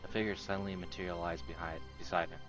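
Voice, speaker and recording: Deep voiced guy reading visual novel narration out loud, music behind him. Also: little pitch movement, fast. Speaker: narrator reading translated game text aloud. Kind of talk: scripted narration.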